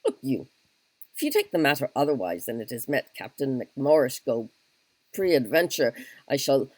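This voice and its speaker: A man's voice: medium pitch, dark tone, no echo, natural voice. A man voicing a pedantic, long-winded army captain who keeps saying "look you", in a solo reading of a stage play. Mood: earnest. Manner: fussy, wordy, repeats "look you". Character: pedantic talkative male Welsh captain.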